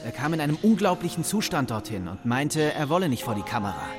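A man's voice: on the high side, big pitch swings, fast. A man giving scripted, anecdotal narration in a German radio play. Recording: music behind the voice.

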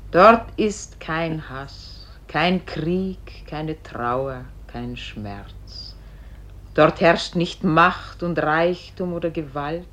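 An actress playing a solemn spirit who guides a dying soul, speaking in measured, incantatory phrases in a poetic radio play. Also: female voice, deep voice, fairly bright tone, full character voice.

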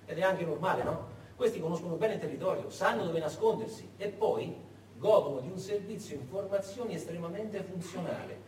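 High-pitched theatrical male storyteller, echoey. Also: a little fast, a little mellow. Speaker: stage actor and narrator. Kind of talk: theatrical historical storytelling, monologue. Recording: echoey stage, distant, faint noise.

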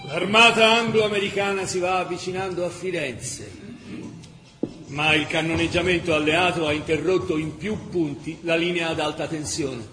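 A male actor playing a grave chronicler of a besieged wartime city in a radio drama, measured and emphatic with dramatic pauses. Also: fairly high pitch, steady tone, over music, lightly acted. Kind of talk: dramatic scripted documentary narration. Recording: roomy, echoing radio theatre sound.

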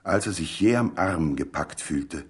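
Deep, husky male literary narrator. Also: animated, a little slow.

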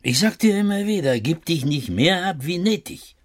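A man's voice: a little high, animated, normal speed, close to an everyday voice. An actor playing a protective, wary butcher father in a radio play, speaking insistently in Yiddish-influenced German. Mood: anxious warning. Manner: insistent, inverted word order.

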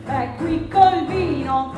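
A woman playing a bold camp-follower trader, singing theatrically in long drawn-out phrases. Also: sits high. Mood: bold, hawking. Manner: drawn-out, declamatory. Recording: echoey room from far off, music.

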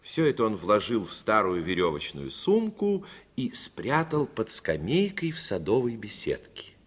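A man's voice: on the low side, huge pitch swings, a little slow, husky. A man giving scripted dramatic narration in a 1950s radio play. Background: music.

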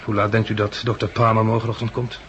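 A man's voice: lowish voice, monotone, fast talker, lo-fi sound, background noise, lightly acted. An actor in a 1960s radio drama playing a dry, sardonic man who narrates and speaks as a patient. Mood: wry, grumpy. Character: bandaged male hospital patient and narrator, wry, sardonic.

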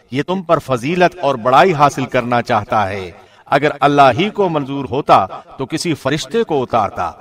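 A man reads translated scripture from a script. His voice is a little higher than average. He phrases each sentence formally and solemnly, with the measured delivery of a radio presenter. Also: a bit bright. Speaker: translation reader, radio host.

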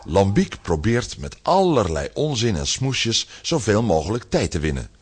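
A man narrating a children's radio play from a script, with a husky voice and animated storytelling. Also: lowish voice, moderate pace, slight background noise.

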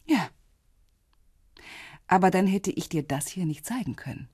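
A woman's voice: on the low side, animated, a little slow, darkish tone, husky, dry recording, studio quality. An actress playing a self-assured police inspector in her forties in a radio crime drama, speaking in a businesslike, matter-of-fact way. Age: 40s.

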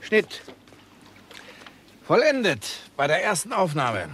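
Brash film boss, swooping intonation. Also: male voice, sits high, wildly swooping pitch, slow pace, a bit bright, heavily acted. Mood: mocking, jovial. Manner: jovial, mocking, commanding. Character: brash, sardonic male Hollywood film boss. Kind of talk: 1960s German radio crime drama acting. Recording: 1960s radio recording, faint background noise.

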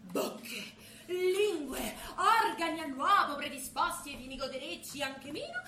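A woman's voice: high-pitched, very animated, measured pace, sharp tone, slight background noise, heavily acted. A woman playing a seductive, bossy lady in a mock-medieval stage farce, with a grandiose, florid and teasing delivery. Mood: grandly lustful. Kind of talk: theatrical stage comedy, mock-archaic speech. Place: live theatre stage. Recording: echoey stage recording with music.

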